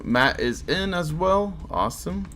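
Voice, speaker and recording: A man's voice: fairly high pitch, big pitch swings, measured pace, bright, forceful tone, soft background music. A man with a Portuguese accent hosting a card game tournament on a live stream, casually chatting and answering viewers' comments. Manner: easygoing, chatty, reacts to chat.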